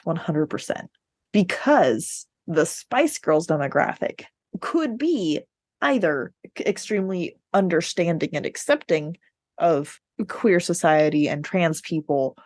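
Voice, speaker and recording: A woman's voice: deep voice, darkish tone, no echo, studio quality. A woman podcast host in a casual book discussion, speaking in a blunt, informal, sweary way.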